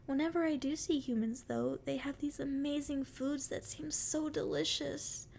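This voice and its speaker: Casting: female performer as a sweet, playful forest fairy for ASMR roleplay, very high voice with a creaky edge, teasing and curious. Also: fairly even intonation, normal speed, darkish tone, a little hiss or hum. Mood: curious, eager. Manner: sweet, flirty, teasing endearments. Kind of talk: ASMR roleplay, addressing the listener.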